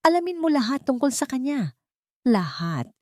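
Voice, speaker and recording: A woman's voice: sits high, big pitch swings, normal speed, darkish tone, no echo, studio quality, pitched above the performer's own voice. A woman voicing a cold, commanding wealthy woman on a phone call in an audiobook drama, speaking curtly. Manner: curt, demanding.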